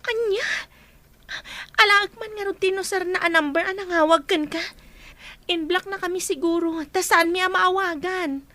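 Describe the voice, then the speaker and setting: Lady playing bossy helpful ilocano girl, audio drama, really high bright voice. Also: normal speed, no room sound. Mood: indignant. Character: brisk, take-charge young woman friend helping out. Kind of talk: amateur audio drama acting.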